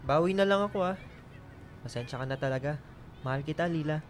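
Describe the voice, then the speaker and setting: An actor playing a gentle, apologetic young lover in a radio drama, soft-spoken and earnest. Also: male voice, fairly high pitch, a little fast, slight background noise, close to an everyday voice. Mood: apologetic, loving.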